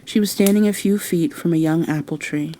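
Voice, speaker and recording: A woman's voice: on the low side, brisk, warm tone, rough recording. A woman narrating a bedtime storybook in an ASMR style.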